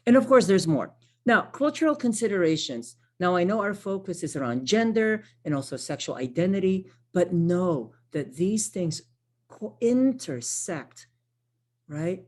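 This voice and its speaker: A woman's voice: lowish voice, very animated, unhurried, a little mellow. A woman, a Tagalog speaker, giving an online training workshop in a conversational way, often checking in with "right?" and restarting her phrases. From Philippines. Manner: conversational, frequent tag questions and self-corrections. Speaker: workshop presenter, social worker.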